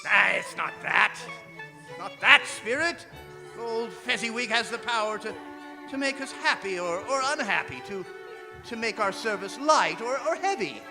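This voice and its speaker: An actor playing a fearful, regretful old miser in a theatrical radio drama, stammering and exclaiming, with music under him in a reverberant hall. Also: male voice, high-pitched, animated, unhurried. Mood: earnest. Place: performing arts center stage.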